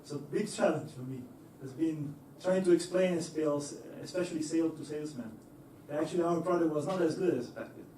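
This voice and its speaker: A man's voice: on the high side, fairly quick, hardly any pauses, warm tone, a little hiss or hum. Man speaking in a roomy hall. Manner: hesitant, many fillers and restarts, laughs easily. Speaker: engineer giving a talk. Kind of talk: presentation to an audience. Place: lecture hall. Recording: echoey hall, voice from far off.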